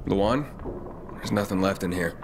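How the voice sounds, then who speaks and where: A man's voice: low voice, a little slow, background noise. An actor playing a wry young male scavenger in a video game, talking casually.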